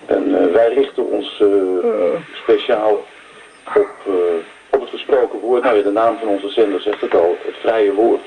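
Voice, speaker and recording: An actor playing an earnest pirate radio activist who talks haltingly, full of hesitations. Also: male voice, fairly low pitch, fairly even intonation, vocal fry, dark tone, slight background noise, poor audio. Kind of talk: radio play acting.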